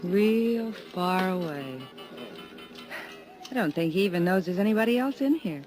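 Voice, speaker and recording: A woman's voice: lowish voice, lively intonation, unhurried, music in the background. An actress playing a languid, confiding young woman at a bar in a 1950s radio drama. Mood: moody.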